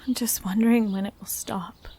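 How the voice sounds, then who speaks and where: A woman acting as a frightened, haunted middle-aged character in an audio drama, hesitant and breathy. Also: mid-range voice, fairly even intonation, moderate pace, mellow. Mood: scared, despairing. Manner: hesitant, anxious.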